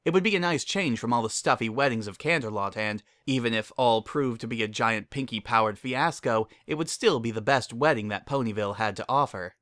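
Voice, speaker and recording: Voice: medium pitch, fairly quick, few pauses, a bit bright, no echo, studio quality. A narrator giving a scripted dramatic reading of a story.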